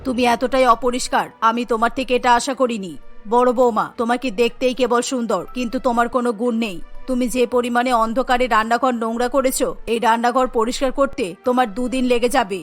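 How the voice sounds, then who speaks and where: A woman voicing a dignified, exacting older mother-in-law in a cartoon, speaking with measured authority. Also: on the high side, steady tone, voice pushed down, close to an everyday voice. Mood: scolding. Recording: music bed behind the voice.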